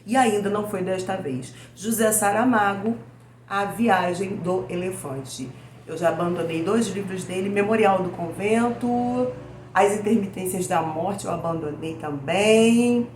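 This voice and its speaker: A Brazilian woman hosting a book vlog. She chats casually with her viewers in a weak, hoarse voice from a cold. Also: medium pitch, a little slow, a little mellow. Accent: Brazilian Portuguese. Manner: chatty, addresses viewers as "gente". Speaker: book channel host. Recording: echoey room with faint noise.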